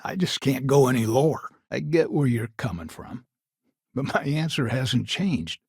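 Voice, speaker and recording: An actor playing a stern, proud old grandfather of a frontier family in a video game, gruff and curt, with a gravelly, husky voice and folksy turns of phrase. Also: mid-range voice, expressive, unhurried, darkish tone, no room sound, clean studio sound. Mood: weary, stubborn. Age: older. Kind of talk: dramatic video game dialogue acting.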